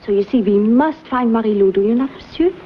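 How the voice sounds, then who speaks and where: A flustered young French schoolmistress, played by a woman, anxious and trusting, pleading in a breathless, high voice full of French words. Her French accent colours every line. The sound is dull and slightly muffled, with a faint hiss under it. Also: brisk, lightly acted. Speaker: French teacher at a girls' academy. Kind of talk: period TV drama acting.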